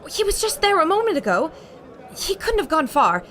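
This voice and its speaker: A woman's voice: very high-pitched, very animated, normal speed, fairly bright tone, some chatter behind, exaggerated voice. A woman playing a bold, plucky adventurer in a radio drama, earnest and assertive. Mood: tense, determined.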